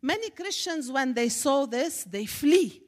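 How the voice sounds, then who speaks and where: A woman's voice: sits high, expressive, a little slow. A woman from Syria with a Syrian Arabic accent, a native Arabic speaker, giving a testimony to a church congregation in an emphatic preacherly manner. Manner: warm preacherly, rising to emphatic exclamations. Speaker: guest speaker. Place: church sanctuary. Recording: echoey church hall.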